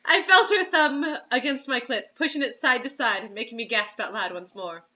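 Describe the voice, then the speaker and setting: A woman's voice: on the high side, moderate pace, sharp tone, muffled recording. A woman reading fiction aloud, giggly and often breaking off into incredulous joking asides. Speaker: reader and co-host mocking bad fiction.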